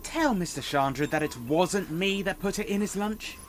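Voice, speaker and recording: Man as a lively, cheeky teenage schoolboy. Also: sits high, moderate pace, slight background noise. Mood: joking. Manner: flippant, lively. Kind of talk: audio drama acting.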